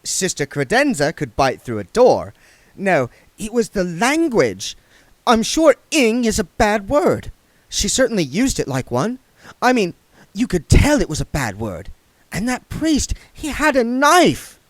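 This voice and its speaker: Man doing a shaken, sharp young woman. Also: high voice, huge pitch swings, a little slow, no echo, pitched above the performer's own voice, exaggerated voice. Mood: shaken, indignant.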